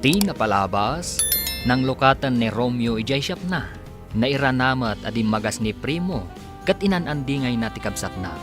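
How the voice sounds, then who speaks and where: A man's voice: mid-range voice, animated, moderate pace. A man narrating a radio drama as announcer in a theatrical, emphatic scripted style. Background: music.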